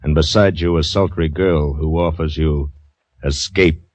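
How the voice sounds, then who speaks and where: A man announcing a vintage radio drama in a grave, theatrical, deliberate style. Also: rumbling low, steady tone. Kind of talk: dramatic 1940s-50s radio narration. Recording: dull old radio recording, loud music.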